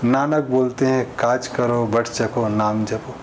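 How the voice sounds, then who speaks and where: A man's voice: mid-range voice, steady tone, slow pace, a little hiss or hum. A man delivering an informal opinion monologue in a conversational way, explaining with everyday examples and imagined exchanges. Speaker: speaker giving social commentary.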